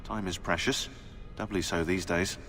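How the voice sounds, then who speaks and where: A man's voice: low voice, fairly even intonation, normal speed, sharp tone. An actor playing a snide, impatient man in a video game cutscene, pompous and dryly mocking. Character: snide, fussy, impatient male techie of a secret order. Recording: long reverb, faint music behind.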